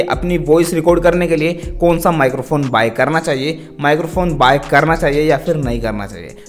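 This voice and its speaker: A young man talks to his viewers like a tech vlogger giving advice. His voice sits a little higher than most men's. He speaks in an explanatory, direct way, with faint music behind him. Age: young adult. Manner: instructional, addresses viewers repeatedly. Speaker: tech video creator and host. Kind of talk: direct-to-camera product advice vlog. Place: small decorated indoor room. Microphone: wired lavalier held in hand. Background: background music.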